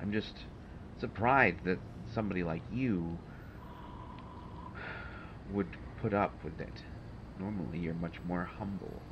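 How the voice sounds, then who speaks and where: Deep, slow-spoken, sardonic male supervillain, acted by a man. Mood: indignant, lecturing. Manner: halting, dry sarcasm, articulate vocabulary. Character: male supervillain, exasperated, haughty, grudgingly helpful to his hero. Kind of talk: roleplay audio drama, one side of a dialogue. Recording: dull lo-fi audio, loud ambient rumble.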